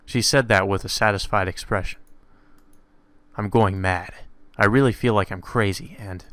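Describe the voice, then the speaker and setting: Bright-voiced man reading with irreverent asides. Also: lowish voice, steady tone, normal speed, dry recording. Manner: irreverent, sweary, reacting incredulously to the script. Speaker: let's player reading a visual novel aloud. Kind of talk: casual commentary mixed with reading aloud.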